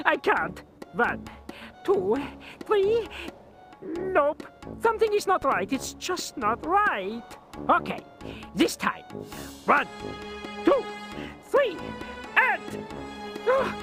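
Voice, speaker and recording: High-pitched nervous inventor. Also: male voice, huge pitch swings, slow pace, pauses often, over music, full character voice. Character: nervous, excitable cowardly inventor of wings. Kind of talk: cartoon video game acting.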